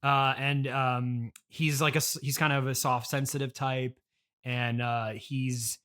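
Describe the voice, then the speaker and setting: Casting: male podcast host, mid-pitched, awkward and agreeable, stumbling through casual improvised comic conversation. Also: level tone, unhurried, fairly bright tone, smooth. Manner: hesitant, rambling, self-conscious and agreeable.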